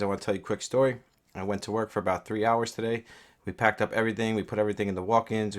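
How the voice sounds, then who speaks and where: A young man with a stuffed-up, scratchy voice, talking casually through a game guide with earnest, rambling asides and many fillers. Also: lowish voice. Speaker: gaming YouTuber.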